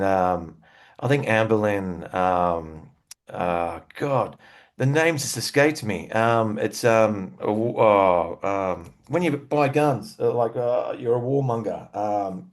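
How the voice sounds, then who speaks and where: A man with an Australian accent chatting casually as an interview guest, telling stories in a laid-back, slangy way with enthusiasm for music. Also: lowish voice. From Western Australia.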